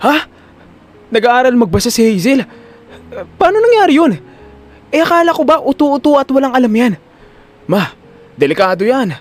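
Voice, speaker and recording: A man's voice: very high voice, very animated, normal speed, long pauses, voice pushed up. A man with a Filipino accent voicing an anxious, scheming young son in an audio drama. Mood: alarmed.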